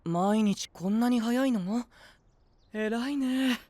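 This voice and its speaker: An actor playing a gentle, blithely persuasive princely young knight, soft-spoken and leisurely, in an anime-style audio drama. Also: male voice, very high-pitched, takes it slowly, dry recording. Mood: gentle, easygoing.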